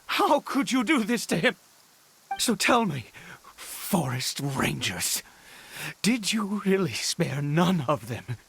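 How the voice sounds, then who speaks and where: A man's voice: sits high, sing-song, slow pace, creaky, a bit bright, breathy edge, heavily acted. A man playing a desperate, unhinged middle-aged father in a game, stammering and pleading frantically as he searches for his son. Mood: bitter, threatening. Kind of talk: dramatic game voice acting.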